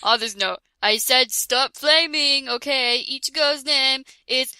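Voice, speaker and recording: A young woman reads a ridiculous fan story aloud for laughs, tripping over words and breaking into giggles. Her voice sits high and sounds very bright and sharp. The sound is close and dry, with no room around it. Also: unhurried, clear, resonant tone, no room sound. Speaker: reader of a parody fan fiction. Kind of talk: giggly comedic dramatic reading.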